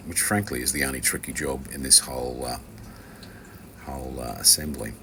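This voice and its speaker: Really deep voiced guy doing a casual how-to, kinda rambly and dry, bright edge, bit of background noise. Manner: hesitant, rambling delivery with dry, offhand asides. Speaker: instructor from a loudspeaker kit maker. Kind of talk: informal hands-on assembly tutorial, unscripted.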